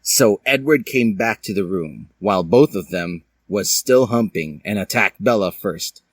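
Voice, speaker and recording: A man's voice: on the low side, lively intonation, a little slow, breathy edge, dry recording. A man reading fan fiction aloud as a dramatic, comic storyteller with a theatrical manner. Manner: theatrical, puts on character voices.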